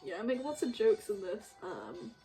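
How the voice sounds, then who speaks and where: A woman with a fairly high, animated voice chats casually while she works. She rambles in a giggly, self-deprecating way, with music playing faintly behind her. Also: normal speed, creaky. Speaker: streamer making visual novel artwork. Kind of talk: casual chat while working.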